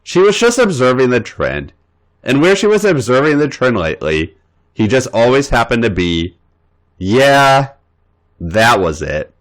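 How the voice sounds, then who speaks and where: A man's voice: mid-range voice, sing-song, unhurried. A man reading erotic fan fiction aloud as a podcast host, playful and theatrical.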